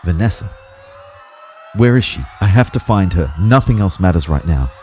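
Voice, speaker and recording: A man's voice: sits low, expressive, normal speed, a little mellow, slight background noise. A man acting a dazed young man who narrates a disaster in the first person, as dramatic scripted storytelling. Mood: panicked.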